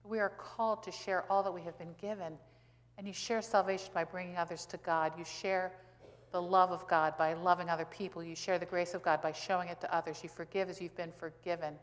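An American woman in her sixties, a pastor giving a sermon in a conversational, emphatic way with rhetorical questions and pauses for stress. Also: lowish voice, level tone. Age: 60s. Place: church sanctuary, indoors at a pulpit. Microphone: pulpit gooseneck microphone. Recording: reverberant church hall.

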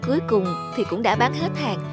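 A woman voicing a kind, polite young female florist in a fairy-tale cartoon, gentle and courteous. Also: a little high, expressive, brisk, loud music behind the voice, lightly acted.

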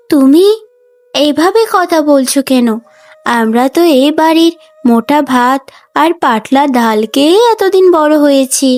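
A woman voicing a gentle young sister in a cartoon, speaking in an earnest, plaintive way. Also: high voice. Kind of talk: Bengali cartoon voice acting.

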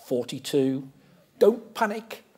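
Husky, higher-pitched middle-aged interviewer, male. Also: very animated, takes it slowly, a little mellow. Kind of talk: on-stage interview. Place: large lecture theatre stage.

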